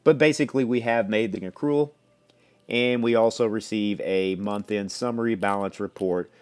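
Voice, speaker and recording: A man presenting a software demo, explaining step by step in a conversational way. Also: on the low side, normal speed, clean tone. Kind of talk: explanatory product demo walkthrough.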